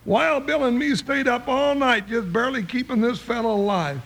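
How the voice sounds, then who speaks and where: An American actor playing a big, folksy, blustery cowboy sidekick in an old-time radio western, with a high, gravelly voice. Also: male voice, normal speed, a bit bright, exaggerated voice. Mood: exasperated. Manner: folksy drawling frontier talk, impatient exclamations. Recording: hissy old radio broadcast recording.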